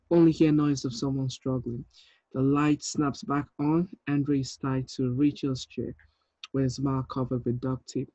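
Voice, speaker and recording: Male director, thirties, casual table-read delivery, medium pitch, slightly lo-fi call sound. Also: dark tone, dry recording. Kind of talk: casual script reading and notes. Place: indoor room on a video call. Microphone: video call with earpiece. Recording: dull video-call audio.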